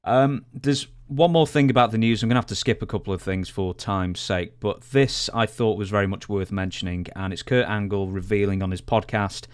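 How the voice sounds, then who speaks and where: A man co-hosting a podcast in a conversational, measured way, with some hesitant asides. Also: on the low side, clear, resonant tone, no echo. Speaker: podcast co-host.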